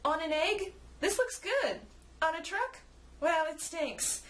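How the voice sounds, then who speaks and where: A woman's voice: very high-pitched, expressive, takes it slowly, fairly bright tone, pitched above the performer's own voice, exaggerated voice. A woman voicing a fussy, picky male rabbit in a children's story, speaking in exclamations. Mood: picky, disgusted. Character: fussy, critical male Easter Bunny.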